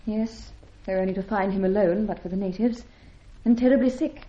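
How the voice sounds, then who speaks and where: A woman's voice: mid-range voice, a little fast, mellow, lightly acted. An actress playing an earnest, anxious young English woman in an old-time radio drama, with precise melodramatic phrasing. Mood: sad, earnest. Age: young adult. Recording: dull vintage radio recording.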